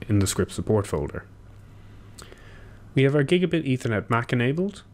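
A young man giving a technical walkthrough, steady and explanatory with occasional ums and self-corrections, recorded at a desk in a small room. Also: on the low side. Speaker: engineer presenting a tutorial. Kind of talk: technical walkthrough over screen share.

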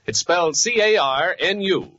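An American man reads a 1940s radio commercial. His voice is raspy and very lively, on a dry, muffled old recording. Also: sits high, normal speed, no echo. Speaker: radio announcer. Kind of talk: 1940s scripted radio advertising.

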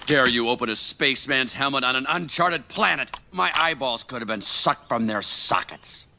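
An actor playing a pompous, earnest toy spaceman who speaks formally, like a hero in an animated film. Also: mid-range voice, moderate pace, gravelly, very noisy. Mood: indignant. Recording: music loud behind the voice. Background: loud music.

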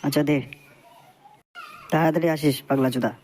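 A man's voice: fairly high pitch, fairly even intonation, brisk, noisy background, close to an everyday voice. A man voicing a generous but wary young male buddy in a crude comedy cartoon, blustery and slangy, often repeating himself.

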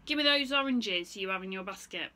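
Pushy picture-book goat, played by a woman: bright, insistent, a bit dim, easily insulted. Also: medium pitch, expressive, a little fast. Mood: threatening. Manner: demanding, indignant.

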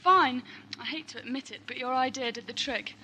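A woman's voice: high-pitched, brisk, pushed and bright, a little hiss or hum, poor audio. A woman playing a curious, caring young travel companion in a sci-fi audio drama. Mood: casual. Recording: music behind the voice.

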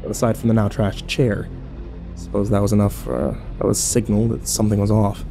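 A man playing an overwhelmed, foul-mouthed young man who narrates his ordeal haltingly in a horror audio drama. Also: on the low side, level tone, vocal fry, over music. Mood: uneasy. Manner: halting, self-interrupting, swearing, incredulous.

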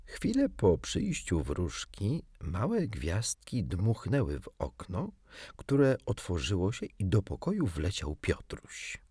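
A man narrating a children's story as an audiobook reader, in an expressive, theatrical way. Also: low-pitched, slow pace, husky, dry recording, clean studio sound.

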